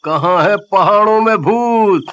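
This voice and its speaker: Man acting a cocky, high-voiced young sceptic. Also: a bit bright, lo-fi sound, full character voice. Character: cocky, mocking young man who scoffs at ghosts. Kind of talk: scripted Hindi audio story acting.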